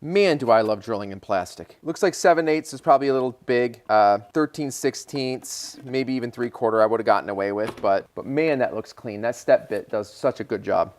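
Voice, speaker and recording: A man's voice: medium pitch, moderate pace, few pauses, a little hiss or hum. Casual American man explaining hands-on work. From Michigan, United States. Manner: informal, thinking aloud while working. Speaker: DIY car repair video host. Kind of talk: casual hands-on how-to narration.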